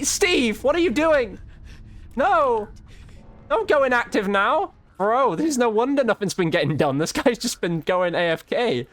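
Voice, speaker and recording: Male gamer, higher-pitched voice, very lively intonation, casual and exclamatory live commentary. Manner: reactive, exclamatory, slangy. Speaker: video game streamer, YouTube host.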